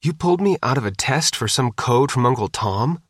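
A man's voice: medium pitch, lively intonation, normal speed, a bit bright, dry recording, studio quality, voice pushed up. A man reading an audiobook voices a fifteen-year-old daughter, indignant and nervous, questioning and protesting. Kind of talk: audiobook character dialogue.

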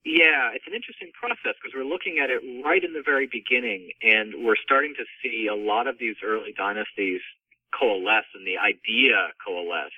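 A man's voice: fairly low pitch, normal speed, dry recording. A man talking as an interviewed author over a phone line, explaining his book and correcting himself as he goes. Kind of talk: discussion of a co-written book. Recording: tinny phone line, distant.

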